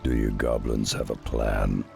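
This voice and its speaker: Huge half-ogre, rock-bottom pitch, gravelly, terse, stoic, humble, game music behind. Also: male voice, little pitch movement, measured pace, dark tone, heavily acted. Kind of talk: fantasy game voice acting.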